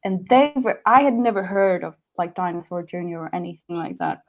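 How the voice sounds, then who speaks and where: A woman's voice: lowish voice, a little fast, dull audio. A young woman from Sweden, a singer-songwriter talking as a guest in a casual interview, chatty and full of restarts. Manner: chatty, many likes and restarts.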